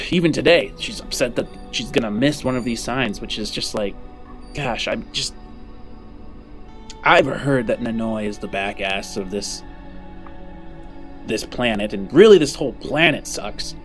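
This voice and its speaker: A man playing a chatty, skeptical local in a sci-fi fantasy roleplay, rambling and halting as he talks. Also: mid-range voice, natural voice. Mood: wistful, worried. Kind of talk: improvised in-character tabletop roleplay. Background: background music.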